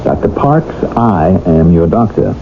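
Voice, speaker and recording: An actor playing a middle-aged doctor who tells his tale in a measured, grave radio drama style. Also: sits low, expressive, background noise. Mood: gruffly affectionate. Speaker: narrator and doctor character. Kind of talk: radio drama acting, storytelling. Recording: dull lo-fi old radio broadcast.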